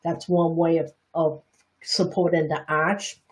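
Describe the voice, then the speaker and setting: A middle-aged woman from Boston with a Chinese Bostonian accent, talking through a reflexology lesson on a livestream. Her voice sits a little low, and her delivery is chatty and candid, with frequent restarts and self-deprecating asides. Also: level tone, measured pace. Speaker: reflexology teacher. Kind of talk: livestream teaching talk.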